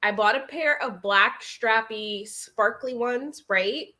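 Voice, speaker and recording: A woman in her twenties telling stories in casual, animated chat, swearing freely as she goes. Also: fairly high pitch, slow. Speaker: guest on a group reading livestream.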